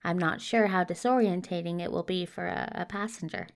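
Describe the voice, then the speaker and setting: A woman's voice: on the low side, brisk, vocal fry. A woman voicing a polite, agreeable male hero of the gentry, genteel and a little hesitant, in an audio drama. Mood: agreeable, conciliatory. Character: polite, agreeable, slightly flustered gentleman hero.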